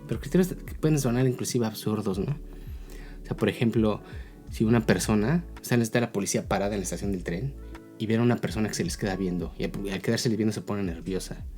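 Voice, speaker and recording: A man's voice: on the low side, fast, darkish tone, soft background music. A man with a Mexican accent giving a casual, explanatory opinion talk as a video blogger, often checking in with tag questions.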